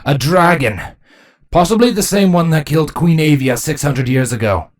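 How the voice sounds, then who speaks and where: A woman's voice: lowish voice, expressive, moderate pace, a little mellow, voice pushed up. A woman voicing a commanding changeling queen in a fantasy story, stern, grave and authoritative. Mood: bitter.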